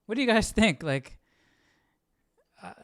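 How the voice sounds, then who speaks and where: A man's voice: high-pitched, expressive, measured pace, no room sound, clean studio sound. A man hosting a live Bible study stream, thinking aloud in casual, reflective commentary and checking in with listeners. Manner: thinking aloud, often asking "right?".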